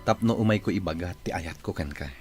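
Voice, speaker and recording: A man's voice: low voice, quick pace, over loud music, lightly acted. A male actor with an Ilocano accent playing a hot-tempered, jealous rich suitor and boss in a radio drama. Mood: pleading, declaring love.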